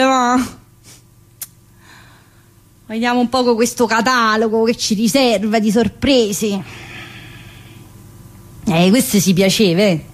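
Actress playing a prison inmate, woman, crude, gossipy, highish voice, stage monologue. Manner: chatty and crude, veering from loud outbursts to low muttering.

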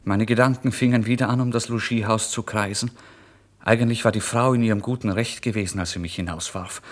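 A man's voice: lowish voice, fairly even intonation, fairly quick, natural voice. An actor playing a starving, proud and agitated down-and-out man in a dramatic literary radio monologue. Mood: agitated, feverish. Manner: dramatic, swinging from hushed brooding to indignant outbursts.

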